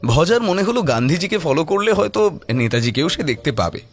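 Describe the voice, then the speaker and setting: A man giving an expressive, scripted narration of a horror story. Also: on the high side, brisk.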